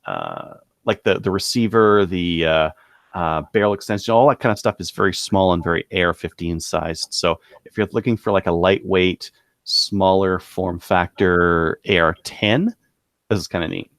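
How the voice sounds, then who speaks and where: A man co-hosting a podcast, casually running through products in a halting, thinking-aloud way. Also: sits low, lively intonation, creaky, a bit bright, no echo, clean studio sound. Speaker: podcast co-host presenting gun deals.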